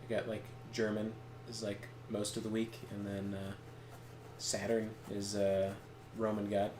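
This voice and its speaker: A man co-hosting a game stream, chatting casually in a halting, digressive way as he shares bits of trivia. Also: on the low side, completely flat delivery. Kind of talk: casual rambling chat. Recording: echoey room, faint background noise.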